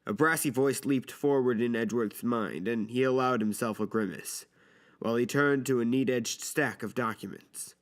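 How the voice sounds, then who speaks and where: A man's voice: medium pitch, normal speed. A man narrating a scripted dramatic story for an audio drama. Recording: background music under the voice. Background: music.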